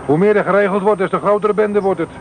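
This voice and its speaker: An actor playing a weary, grumbling radio detection officer tracking illegal transmitters from a car in a radio play. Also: male voice, high-pitched, fast, very noisy, close to an everyday voice.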